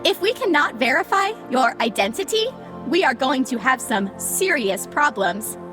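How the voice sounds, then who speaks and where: A woman's voice: high voice, animated, normal speed. A woman playing a cheerfully officious computer program in an audio drama, speaking formally and with unsettling frankness. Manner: formal, contraction-free, cheerfully officious speech. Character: chirpy female computer program greeting the digitized dead, unsettlingly honest. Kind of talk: scripted audio drama acting. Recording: music behind the voice.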